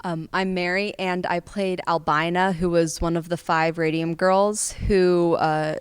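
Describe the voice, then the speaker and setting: Halting teenage American girl, very clear voice. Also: on the low side, fairly even intonation. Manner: halting, tentative. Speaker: student actor being interviewed. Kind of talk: explanatory interview talk.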